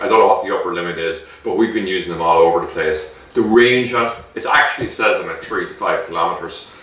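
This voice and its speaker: Deep-voiced man in a very echoey room. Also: a bit bright. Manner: chatty, explanatory, often checking with "okay". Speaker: shop owner presenting a product. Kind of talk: casual product demonstration. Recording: echoey room, distant microphone.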